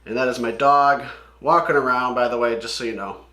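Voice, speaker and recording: A man's voice: mid-range voice, steady tone, a little fast, bright, forceful tone. Rambling man with a roomy echo. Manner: rambling, sweary, slangy asides. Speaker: host of comic read-along videos. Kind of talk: casual read-along commentary.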